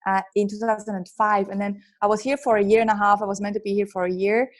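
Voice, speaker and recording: A woman in her thirties with a strong Swiss accent, a guest telling her story openly in a podcast interview, quick bursts with restarts. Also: medium pitch, steady tone. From Switzerland. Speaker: guest, entrepreneur and author.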